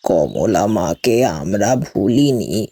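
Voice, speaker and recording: A really old village man, super slow, repeats himself, wistful, close dry sound. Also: fairly low pitch, animated, dark tone, husky, no room sound, exaggerated voice. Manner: halting, repeats phrases. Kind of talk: audio story acting.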